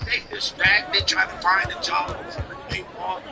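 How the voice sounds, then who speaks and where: A man's voice: high-pitched, flat delivery, unhurried, creaky, over music, crowd noise. A man in his forties hosting a live stream, ranting bluntly and profanely in a casual, opinionated way. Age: 40s. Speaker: livestream host. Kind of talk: casual livestream rant.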